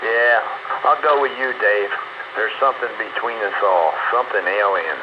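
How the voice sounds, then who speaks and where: A man with a fairly low voice talks over two-way radio. He is casual and matter-of-fact. The sound is dull and narrow, with very loud static all through it. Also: moderate pace. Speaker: amateur radio operator. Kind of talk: casual on-air radio chat. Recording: band-limited radio transmission, very loud static.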